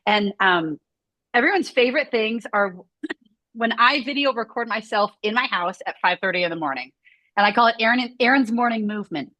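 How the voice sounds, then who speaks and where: A woman's voice: a little high, expressive, moderate pace, sharp tone, no echo. A woman who runs a scoliosis movement studio, chatting casually and rambling with lots of "like" fillers. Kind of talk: casual video chat conversation.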